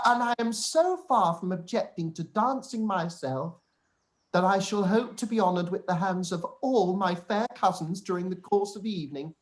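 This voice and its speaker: A man's voice: high voice, rough recording. A man playing a pompous, obsequious clergyman cousin in a period radio play, speaking in a formal, wordy, self-satisfied way.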